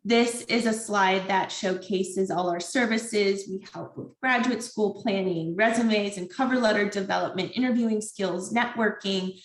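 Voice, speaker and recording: A woman's voice: mid-range voice, measured pace, few pauses, roomy sound, poor audio. A woman from a career center giving an informative, upbeat presentation over a video call. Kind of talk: online presentation.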